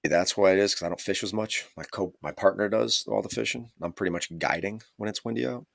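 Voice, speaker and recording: A man's voice: on the low side, fast, vocal fry, a bit bright, no room sound, clean studio sound. A man in his forties hosting a fishing league stream, chatting casually and rambling with friends. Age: 40s. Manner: chatty, rambling, laughs easily. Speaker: league show host. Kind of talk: casual live-stream chat.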